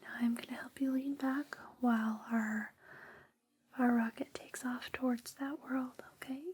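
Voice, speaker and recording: A woman whispering softly and breathily in a soothing, reassuring ASMR personal-attention roleplay, close to a binaural microphone. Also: high-pitched, steady tone, measured pace, husky. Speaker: ASMR performer playing a space flight attendant guiding a sleep journey. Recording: close binaural with background hiss and clicks.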